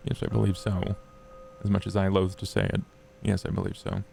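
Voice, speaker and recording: A man playing a solemn, gravelly vampire gentleman. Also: deep voice, completely flat delivery, slight background noise. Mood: grave, earnest. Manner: grave, formal, deliberate wording. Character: solemn, courteous male vampire leader.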